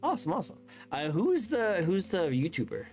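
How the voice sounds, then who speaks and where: A man's voice: sits high, huge pitch swings, brisk, a little mellow. A man hosting a casual online interview, talking in a loose, rambling way full of fillers and encouragement. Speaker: interview host.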